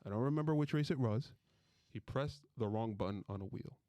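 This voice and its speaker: A man's voice: medium pitch, a little fast, dark tone, no room sound. A man hosting a casual sports podcast with friends, talking in a playful, bantering way. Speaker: podcast host.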